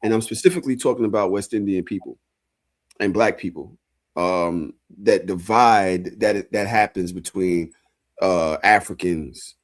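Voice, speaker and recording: A podcast co-host talks casually, stopping and restarting as he searches for words. His voice sits on the low side. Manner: halting, many fillers, restarts.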